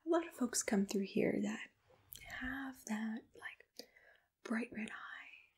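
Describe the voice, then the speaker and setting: Slow, whispering breathy ASMR woman. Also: a little high, expressive. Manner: gentle, reassuring, softly attentive. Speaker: ASMR roleplay host as a monster matchmaker. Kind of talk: soft whispered ASMR personal attention roleplay.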